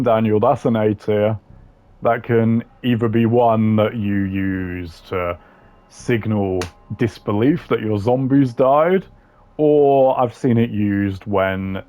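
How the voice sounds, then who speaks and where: A man's voice: lowish voice, darkish tone. A man giving casual, slangy tier-list commentary in a blunt, opinionated way. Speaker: commentator ranking game voice lines.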